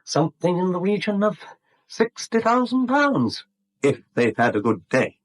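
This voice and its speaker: A smug, lively British swindler, husky-voiced. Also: male voice, sits high, a little slow, exaggerated voice. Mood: gleeful, scheming. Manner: jaunty, sly, condescending. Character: smug, breezy male confidence trickster. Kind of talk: 1970s British radio drama acting.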